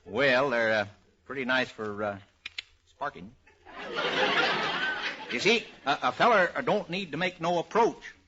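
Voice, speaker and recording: A man's voice: medium pitch, lightly acted. A man with an American accent playing a bumbling, stammering, cheerful country egg peddler in radio comedy. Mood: jokey, folksy. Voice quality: stammering, halting delivery. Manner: folksy, tongue-tangled, restarting words. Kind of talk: 1940s radio comedy acting. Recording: muffled, noisy old radio recording.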